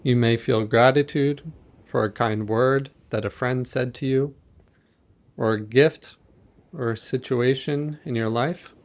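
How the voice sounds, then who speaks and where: A man leading a guided meditation in a gentle, measured, softly instructive manner. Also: mid-range voice, fairly even intonation.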